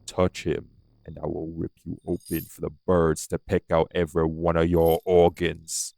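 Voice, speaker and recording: Man playing a low, growly vampire. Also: completely flat delivery, normal speed, gravelly, warm tone, no echo, faint music behind. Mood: threatening. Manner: blunt, drawling, taunting, colloquial. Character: rough, defiant old vampire, devil of New Orleans. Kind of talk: dramatic audio roleplay acting.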